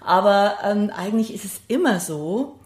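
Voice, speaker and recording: A woman's voice: medium pitch, lively intonation, moderate pace, echoey room. A middle-aged woman, talking reflectively in an interview and searching for words as she goes. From Bavaria, Germany. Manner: thoughtful, searching for words, seeks agreement with short tag questions. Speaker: interview guest, singer and grief singing-circle leader.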